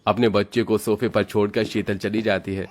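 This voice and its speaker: A man's voice: lowish voice, little pitch movement, normal speed, a little mellow. A man narrating a scripted moral story for children as its storyteller.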